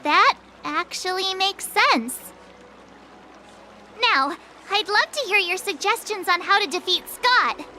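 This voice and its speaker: An eager teenage girl training as a hero in an anime-style game. Her voice is extremely high and lively, with unhurried, drawn-out phrasing. She is perky and quick to cheer herself on. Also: a little hiss or hum, exaggerated voice. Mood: confident. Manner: perky, self-encouraging, exclaiming. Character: bubbly, eager teenage girl swordplay student and aspiring hero.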